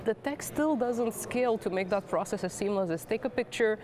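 Articulate woman in a bright lobby. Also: medium pitch, fairly quick, a little hiss or hum. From Romania. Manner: articulate, upbeat, frequent tag questions. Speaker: product manager. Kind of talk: corporate interview. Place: large modern atrium lobby with plants. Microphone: lapel mic.

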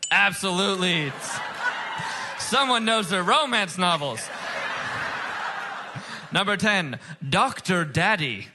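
Man, high voice, emphatic game show host, comic patter, noisy live stage recording. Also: animated, slow pace, long pauses. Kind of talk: comedy game show hosting before a live audience. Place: stage before a live audience.

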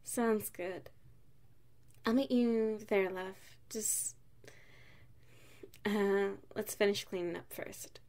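A woman playing an affectionate, playful wife in an ASMR roleplay, speaking in a soft, intimate manner. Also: mid-range voice, slow, long pauses, close to an everyday voice. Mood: tender, relaxed. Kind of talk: ASMR roleplay, one side of a dialogue.

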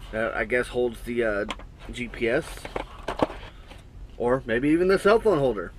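A trucker in his thirties talks his way through an unboxing in his truck cab, his voice in a middle register. He rambles and thinks aloud with plenty of fillers. The sound comes through a small action-camera mic with a faint hum of engine noise behind him. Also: medium pitch. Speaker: trucker reviewing gear. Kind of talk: casual unboxing review. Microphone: action camera on the cab wall, built-in mic.

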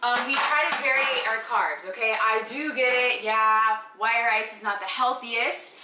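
A woman's voice: on the high side, moderate pace, recorded from a distance. A young woman walking viewers through her meal prep step by step in an upbeat, casual way, in a small home kitchen on a camera mic. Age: young adult. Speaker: vlogger. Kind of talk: casual cooking vlog walkthrough. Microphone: handheld camera mic. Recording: echoey kitchen with background noise.

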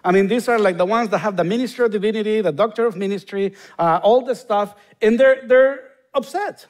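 Honduran-accented pastor preaching from stage. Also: male voice, high-pitched, fairly quick, darkish tone. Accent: Honduran Spanish. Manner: earnest, rising to emphatic bursts on key points. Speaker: guest pastor. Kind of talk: sermon with prayer. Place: large church stage, indoors.

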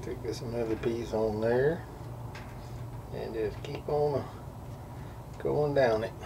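A man's voice: medium pitch, fairly even intonation, slow pace, creaky. Folksy man in a noisy workshop. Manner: folksy, easygoing, talks while working. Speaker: lure painter. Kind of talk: casual how-to demonstration. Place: indoor workshop. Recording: distant mic, noisy workshop.

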